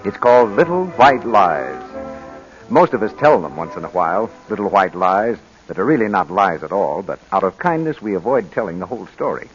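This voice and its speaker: Male vintage radio announcer and pitchman, low animated voice, muffled broadcast sound. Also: brisk, faint music behind. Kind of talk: 1940s radio announcing and advertisement.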